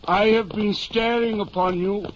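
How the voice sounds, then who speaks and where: On a dull, faintly noisy vintage radio recording, a timid squire with a high-pitched voice. He is plain-spoken and doubtful, hesitant and stammering, yet always deferential to his master. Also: steady tone, measured pace. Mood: wry, bluntly honest. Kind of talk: 1950 old time radio drama acting.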